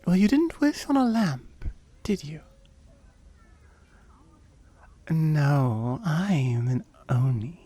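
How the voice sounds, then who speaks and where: A woman voicing a seductive, smug oni demon in an intimate ASMR roleplay, breathy and often whispering as she slowly coaxes and teases. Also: sits low, lively intonation, dark tone, full character voice. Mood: teasing, indignant. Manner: slow, coaxing, teasing.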